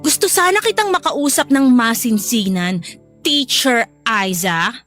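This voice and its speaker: A woman's voice: a little high, animated, a little slow, fairly bright tone, dry recording, soft background music. A woman acting an emphatic, theatrical female character in a Filipino radio drama. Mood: indignant, pleading. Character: indignant estranged wife, pleading fiercely; also teasing coworker.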